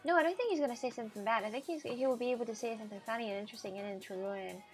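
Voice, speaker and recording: A woman's voice: fairly high pitch, racing, faint music behind. A woman from Russia in her twenties, chatting casually and animatedly on a live stream, often repeating herself.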